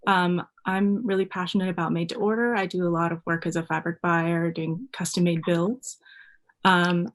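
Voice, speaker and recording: A woman speaking eagerly and earnestly as a panel guest. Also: fairly low pitch.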